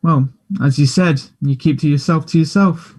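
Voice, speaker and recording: Man playing a higher-voiced, earnest teenage boy. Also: fairly even intonation, normal speed, darkish tone, lightly acted. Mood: candid, vulnerable. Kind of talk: play table read, naturalistic dialogue.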